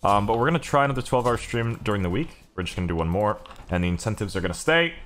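A man's voice: lowish voice, lively intonation, fast talker, a bit bright, slight background noise. A man giving casual gameplay commentary as a streamer, griping with exclamations and swearing. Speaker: video game streamer.

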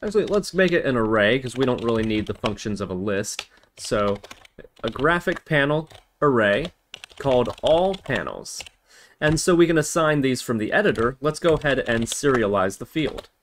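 Man, medium pitch, tutorial host, methodical step-by-step explaining, slight background noise, typing and clicking. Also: lively intonation, normal speed. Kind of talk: scripted-feeling coding tutorial narration. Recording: noisy, with keyboard typing and clicks. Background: computer keyboard typing and mouse clicks.

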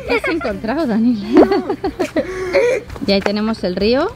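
A woman's voice: a little high, big pitch swings, moderate pace, very noisy. A mother giving a chatty, playful house tour, laughing often, outdoors by a pool over background music. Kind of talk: casual family vlog narration.

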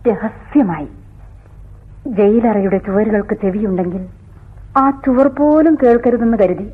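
A woman's voice: medium pitch, husky. An actress playing an anguished, accusing woman convict haunted by her killings, in a theatrical, declamatory radio drama style. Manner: dramatic, declamatory, swinging from hushed to crying out. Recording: tinny, phone-like old radio recording.

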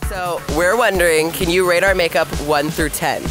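A man's voice: sits high, fairly even intonation, moderate pace, music in the background. A young man hosting a vlog, cheerfully asking strangers on a busy city sidewalk to rate makeup, with a giggly, upbeat manner. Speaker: vlog host. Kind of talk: casual street interview chat.

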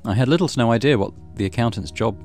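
A man reading a visual novel aloud as a let's play host, with expressive storytelling and casual commentary. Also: medium pitch, fast. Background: soft game music.

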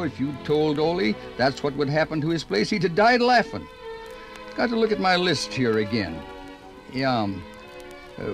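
An actor playing a folksy, rambling older small-town man, narrating a 1950s radio program. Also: a little high. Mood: nostalgic. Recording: 1950s radio record, music behind.

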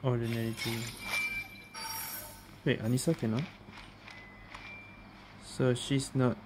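A man's voice: mid-range voice, flat delivery, slow, background noise. A man giving casual gameplay commentary, thinking aloud in short fragmented remarks. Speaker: gaming streamer. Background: game music.

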